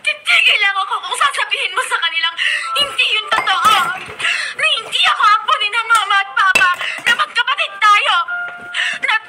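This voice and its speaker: A woman's voice: very high-pitched, lively intonation, brisk. A woman with a Filipino accent playing a distraught, furious young sister who insistently demands the truth in a radio drama. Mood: anguished, defiant. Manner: shouting, insistent, melodramatic. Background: loud dramatic music.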